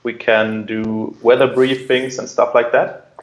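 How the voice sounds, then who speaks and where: A man's voice: mid-range voice, little pitch movement, measured pace, reverberant, rough recording. A man answering interview questions and explaining his work in a conversational way. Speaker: air traffic controller, interview guest.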